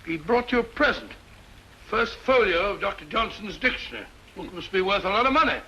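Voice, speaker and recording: An old, faintly noisy radio drama with a bumbling English gentleman. His voice is high for a man and bright, his manner genial and blustery. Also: expressive, a little fast, lo-fi sound. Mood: chatty. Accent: British. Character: kindly, bumbling middle-aged English gentleman sidekick. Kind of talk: 1940s radio mystery acting.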